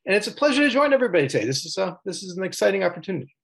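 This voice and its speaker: A man's voice: high voice, big pitch swings, rapid-fire, creaky. A man from the United States introducing himself on a virtual panel, enthusiastic and chatty. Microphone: video call.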